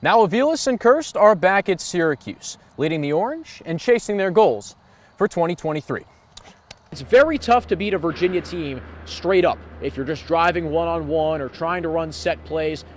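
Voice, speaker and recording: A man's voice: fairly high pitch, lively intonation, moderate pace, slight background noise. An American man working as a sports announcer and reporter, with a broadcast delivery. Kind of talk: play-by-play, interviewing and scripted reporting.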